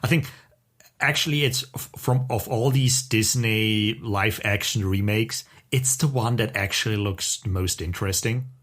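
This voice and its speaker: A man's voice: fairly low pitch, unhurried, a bit bright. A man co-hosting a podcast in casual conversation, with an opinionated, wry way of talking. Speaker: podcast co-host.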